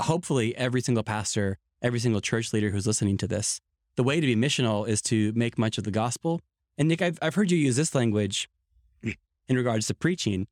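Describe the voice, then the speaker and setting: A man with a lowish voice talks about his church with earnest conviction. His speech is conversational and full of "like". The sound is dry and close, with no room around it. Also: a little mellow, no echo. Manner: earnest, emphatic, peppered with "like". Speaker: pastor of a church in Ireland. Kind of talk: podcast conversation.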